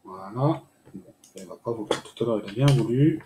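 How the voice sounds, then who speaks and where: A man walking viewers through a screen-recorded software tutorial step by step, pausing often with filler sounds. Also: on the low side. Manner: hesitant, many "euh" fillers, step-by-step explaining. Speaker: tutorial host. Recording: echoey room with faint noise.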